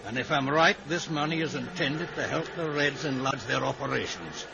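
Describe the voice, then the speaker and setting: An actor playing a shrewd, sly older Scottish secret service man with a gravelly voice, in 1950s radio drama style. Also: mid-range voice, expressive, normal speed, rough recording. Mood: grave, determined.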